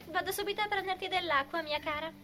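A woman's voice: extremely high pitch, fast, over music. A woman playing a bubbly, sweet, naive young woman in an amateur anime dub, girlish and effusive. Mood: sweet, polite.

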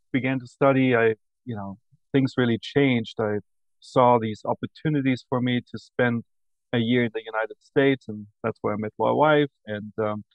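A man's voice: mid-range voice, vocal fry, dry recording. Austrian-accented man, dull lo-fi sound. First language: German. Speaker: guest. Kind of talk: casual interview chat. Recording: dull lo-fi audio.

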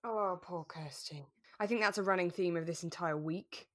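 A woman's voice: lowish voice, moderate pace, no echo, studio quality. A woman co-hosting a podcast, casually recapping and discussing a radio drama. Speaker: podcast co-host.

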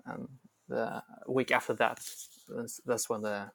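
A young man who is not a native English speaker, a podcast guest chatting casually and pausing often to search for words. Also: mid-range voice, level tone, vocal fry. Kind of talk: casual chat.

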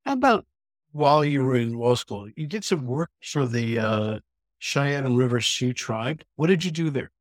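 A man's voice: medium pitch, animated, normal speed, no echo. Male interview host, dry studio-like sound. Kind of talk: scripted introduction and interview questions.

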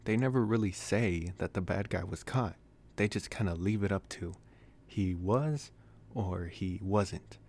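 Low-voiced, intimate young male vampire, played by a man. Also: a little slow. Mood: musing. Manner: soft, intimate, hesitant phrasing with sighs and chuckles. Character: possessive, teasing young male college vampire, gently reassuring. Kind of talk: ASMR boyfriend roleplay.

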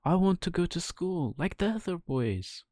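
A man voicing an eager, innocent wooden puppet boy in a bright, childlike way for a bedtime story. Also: medium pitch, very animated, moderate pace, no room sound, clean studio sound, voice pushed up, natural voice.

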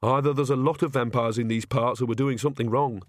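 A man voicing a sensible, anxious young woman in an audiobook, with a flat, questioning and hesitant manner. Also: medium pitch, moderate pace, dry recording, lightly acted. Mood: flat, sceptical. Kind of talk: audiobook character voice.

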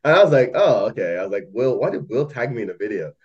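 A man's voice: medium pitch, big pitch swings, fast, highs cut off. A young man who grew up in Malaysia, chatting casually as a podcast guest, rambling with many fillers and laughs. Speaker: podcast guest, algo trader. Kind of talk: casual chat.

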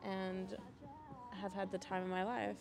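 A woman's voice: medium pitch, level tone, unhurried, gravelly. Young woman chatting casually over music. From Europe, mainly Switzerland, Belgium and Scandinavia. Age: young adult. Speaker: podcast guest. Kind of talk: casual conversational storytelling.

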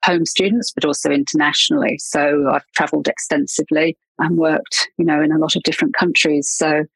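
A woman's voice: sits low, steady tone, a little fast, creaky, breathy edge, no room sound, low-quality recording. A middle-aged Englishwoman chatting as a podcast guest, laughing often and trailing off with fillers and restarts. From England. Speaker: podcast guest, marketing consultant. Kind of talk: casual interview chat.

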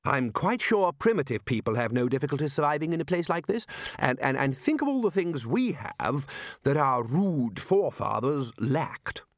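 A man's voice: mid-range voice, sing-song, moderate pace, dry recording, studio quality, pitched above the performer's own voice. A man voicing a pompous, exasperated elderly wizard who runs a university, for a storybook reading. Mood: rallying. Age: older. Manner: pompous, exasperated, takes charge with hesitant ums. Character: booming, bossy elderly male wizard, head of a university.

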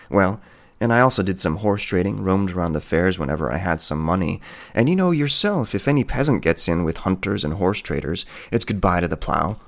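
A man voicing a proud, indifferent peasant hunter in a storybook, gruff, dismissive and boastful. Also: sits low, full character voice.

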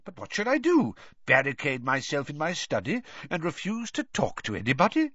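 A man voicing an old, kindly wizard headmaster, measured, courteous and dryly witty. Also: fairly high pitch, huge pitch swings, fairly bright tone, dry recording, lo-fi sound, pitched above the performer's own voice, heavily acted. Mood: firm, wry. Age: older.

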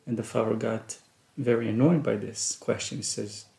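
Middle-aged father as podcast co-host, earnest and reflective, gravelly low tone, echoey room. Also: fairly even intonation, normal speed, warm tone. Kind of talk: casual family chat.

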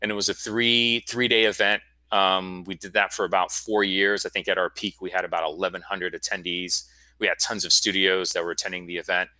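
A man's voice: low voice, level tone, brisk, pushed and bright, dry recording, low-quality recording. A man in his forties from Florida, a guest telling his story in a casual, rambling interview. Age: 40s. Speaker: guest, school founder.

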